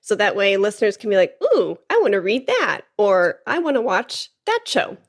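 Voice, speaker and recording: A woman's voice: mid-range voice, big pitch swings, normal speed. Woman, podcast host, casual interview, upbeat, chatty. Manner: enthusiastic, warm affirmations.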